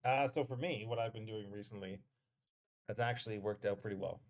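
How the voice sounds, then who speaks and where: A man's voice: fairly low pitch, fairly quick. A man in his thirties from Canada, chatting casually as a panelist on a podcast. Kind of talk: casual chat.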